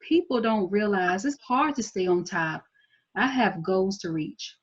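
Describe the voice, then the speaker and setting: A woman's voice: mid-range voice, animated, a little slow, slightly rough. Guarded young woman actress, muffled recording. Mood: defensive, proud. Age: young adult. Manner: slangy, blunt, defensive. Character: guarded, driven twenties woman, sharp-tongued and proud. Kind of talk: table read of TV drama script. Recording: dull lo-fi recording.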